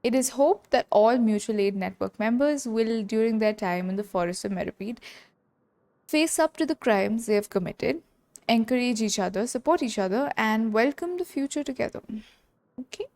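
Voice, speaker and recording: A woman's voice: fairly high pitch, lively intonation, moderate pace, a little mellow. A woman gaming streamer giving casual playthrough commentary and reading game text aloud. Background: game music.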